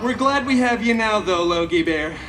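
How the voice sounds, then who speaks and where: A man's voice: sits high, lively intonation, moderate pace. A man playing a chaotic, cheeky, vain masked antihero who tells a story theatrically to a live audience with sassy, mock-sweet asides. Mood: theatrical, gossipy. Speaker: theme park stage show performer. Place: theme park stage, recorded from the audience. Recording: amplified live show, distant, crowd noise.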